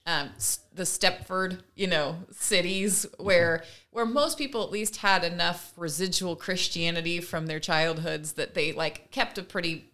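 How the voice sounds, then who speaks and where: A woman's voice: fairly low pitch, measured pace, few pauses, a bit bright. A woman co-hosting a podcast in casual conversational commentary, earnest and discursive. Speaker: podcast co-host.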